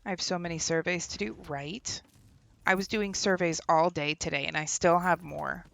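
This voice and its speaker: A woman's voice: fairly low pitch, fairly even intonation, normal speed, vocal fry, a bit bright. A young woman streaming a game from home, chatting casually and laughing, often trailing off mid-sentence, on a desk microphone. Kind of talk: casual gameplay stream chat. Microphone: desk microphone with headphones.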